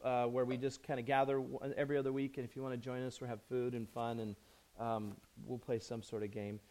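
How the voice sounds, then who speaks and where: Hoarse pastor, joking and chatty. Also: male voice, medium pitch, darkish tone. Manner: chatty, self-joking, rambling with restarts. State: hoarse from a chest cold. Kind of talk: conversational sermon.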